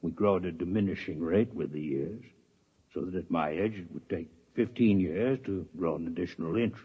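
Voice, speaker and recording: A man playing a calm, formal male alien doctor from another planet in a 1950s radio drama, speaking with precise, unhurried diction. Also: deep voice, husky. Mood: measured. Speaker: visiting alien scientist. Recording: dull lo-fi 1950s radio broadcast.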